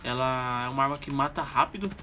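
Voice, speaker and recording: A man's voice: mid-range voice, fast talker, noisy background. A young Brazilian man with a stuffy, nasal voice from a cold, chatting casually to viewers while commenting on his gameplay. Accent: Brazilian Portuguese. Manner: relaxed slangy chatter, talks to viewers. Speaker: gaming channel host. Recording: loud game gunfire over the voice.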